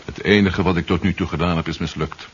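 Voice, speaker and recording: An actor playing a brooding, eager security recruit in a radio play from the 1970s. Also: male voice, sits low, steady tone, fast talker, close to an everyday voice. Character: brooding, eager man newly joining a security service. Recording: dull, hissy 1970s radio drama tape.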